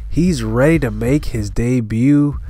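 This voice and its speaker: A man with a stuffy voice from a cold, giving relaxed gameplay commentary and thinking aloud with frequent fillers. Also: mid-range voice, slow. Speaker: gaming channel host.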